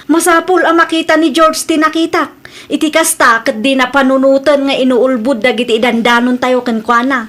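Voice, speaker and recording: A woman's voice: high-pitched, fairly even intonation, normal speed, a bit bright. A woman with a Filipino Ilocano accent playing a gossipy, suspicious young sister in a comedy drama. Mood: insistent.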